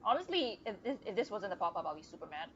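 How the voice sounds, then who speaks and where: A woman's voice: medium pitch, very animated, fast talker, noisy background. A woman chatting casually as she streams a game, reacting aloud in informal, slangy language. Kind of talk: casual live gameplay commentary. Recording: dull lo-fi sound, game music behind. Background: game music.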